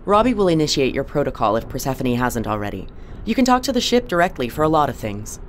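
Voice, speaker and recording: A woman playing a composed, authoritative spaceship captain in an audio drama, measured and businesslike. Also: low-pitched, very animated, slight background noise. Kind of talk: naturalistic audio drama acting.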